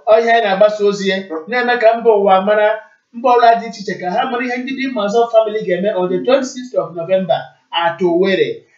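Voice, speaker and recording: Male Igbo Nigerian talk host, high voice, formal announcing delivery, heard through a distant, muffled stream. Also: steady tone, quick pace. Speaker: radio call-in show host. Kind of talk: live broadcast announcing and answering callers. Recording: echoey, distant, muffled internet radio stream.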